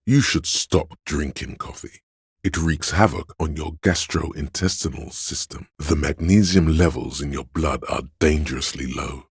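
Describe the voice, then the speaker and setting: Very deep, gravelly, sleazy inner tempter. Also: male voice, measured pace, no echo, clean studio sound, exaggerated voice. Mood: coaxing, sly. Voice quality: gravelly, husky. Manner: insinuating, goading, drawling. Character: hedonistic inner voice of addiction, sleazy coaxing tempter in the brain. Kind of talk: video game voice lines.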